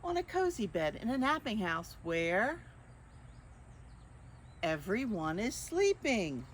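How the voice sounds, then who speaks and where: Older woman storyteller, lively swooping intonation. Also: medium pitch, a little hiss or hum. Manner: expressive, drawn-out phrasing with questions to listeners. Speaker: children's storyteller. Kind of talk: reading picture books aloud to young children.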